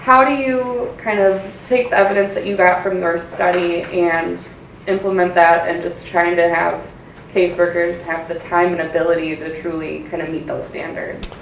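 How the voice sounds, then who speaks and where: American woman w vocal fry asking a q from the audience, echoey, bit far away. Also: on the low side, steady tone, normal speed. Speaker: audience member asking a question. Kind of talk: considered, informal question. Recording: echoey room, distant, faint noise.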